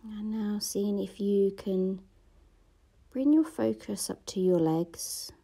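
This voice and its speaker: Woman meditation guide: dark, gravelly voice, soft and half-whispered, unhurried. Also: mid-range voice. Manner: slow, soft-spoken, often half-whispered.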